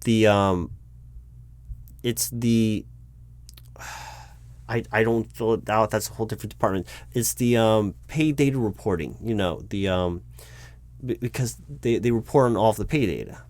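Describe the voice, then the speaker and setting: A man with an American accent and a fairly low voice plays a corporate recruiting manager in a one-sided roleplay. He speaks aloud in a conversational, improvised way. His delivery is hesitant and officious, often stopping and restarting as he explains procedure. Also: unhurried, long pauses, darkish tone. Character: flustered bureaucratic male corporate recruiting manager addressing his disguised boss.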